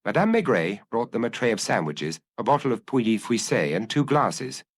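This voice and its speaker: A man's voice: mid-range voice, sing-song, normal speed, husky, no echo, clean studio sound. A man narrating a detective story as a scripted audiobook reading.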